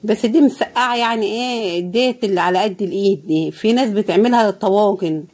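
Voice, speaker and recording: A woman with an Egyptian Arabic accent and a hoarse, tired voice, chatting casually as she cooks. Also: medium pitch, quick pace, darkish tone. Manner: tired, pious, often praising God. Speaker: cooking vlogger. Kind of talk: casual cooking commentary.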